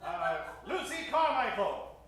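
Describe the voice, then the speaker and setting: Male radio-show host, high voice, tongue-in-cheek announcer delivery, live stage, distant from the mic, clearly noisy recording. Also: very animated, takes it slowly, very echoey. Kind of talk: theatrical old-time radio announcer addressing a live audience. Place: echoey venue in front of a live audience.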